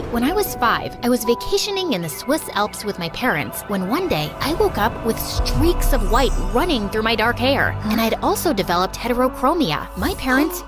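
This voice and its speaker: A woman voicing a dramatic, sassy college-age rebellious daughter who tells her own story in a theatrical, sarcastic way. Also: a little high. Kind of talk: animated first-person story narration. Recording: music behind the voice. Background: music.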